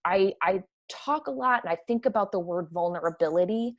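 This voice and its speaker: A woman with a Midwestern American accent, chatting energetically as a podcast guest. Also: medium pitch. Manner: energetic, chatty, quick to laugh. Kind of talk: casual conversation.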